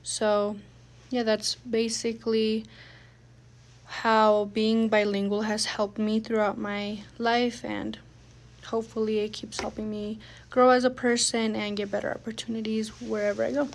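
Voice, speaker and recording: A young woman giving a prepared personal statement, measured, with frequent pauses and fillers. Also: on the high side, flat delivery, a little hiss or hum. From United States. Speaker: student speaker.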